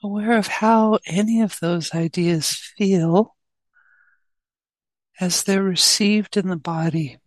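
A woman leading a guided meditation as a teacher, speaking gently in short phrases with long pauses between them. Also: medium pitch, steady tone, no echo.

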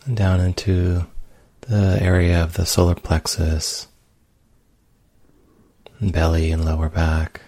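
A man leading a guided meditation in a gentle, unhurried manner with long pauses. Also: deep voice, flat delivery, no room sound. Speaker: meditation teacher.

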